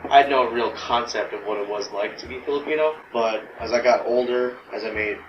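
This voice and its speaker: A man's voice: medium pitch, brisk, muffled recording. A man speaking casually in a personal interview, rambling with frequent "right?" and fillers. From United States. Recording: distant mic in noisy echoey room.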